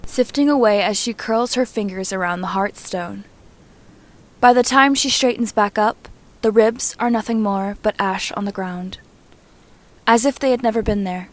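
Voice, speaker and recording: A woman narrates prose fiction in a mid-range voice. Her reading is measured and descriptive, following a written script as in an audiobook. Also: mid-range voice. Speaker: reader and narrator of fan fiction.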